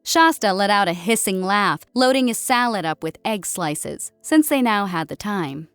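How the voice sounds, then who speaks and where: A woman's voice: medium pitch, animated, normal speed, fairly bright tone, clean tone, no room sound, faint music behind. A woman reading scripted fiction as an audiobook narrator. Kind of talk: scripted story narration with character dialogue. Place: close, dry recording with music behind.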